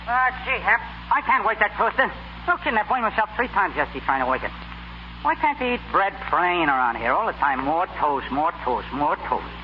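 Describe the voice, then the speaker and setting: An actor playing a dim, put-upon prizefighter stuck in the kitchen, complaining in rough grammar, in 1930s radio comedy style. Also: male voice, high voice, big pitch swings. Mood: whining, aggrieved. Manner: complaining, ungrammatical, slow-witted. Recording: scratchy, muffled 1930s radio recording.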